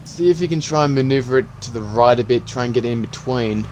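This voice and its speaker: A man playing a calm, practical zombie apocalypse survivor in an improvised game roleplay, talking in an encouraging, instructive way. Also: mid-range voice, quick pace, a little hiss or hum, lightly acted. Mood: guiding, encouraging.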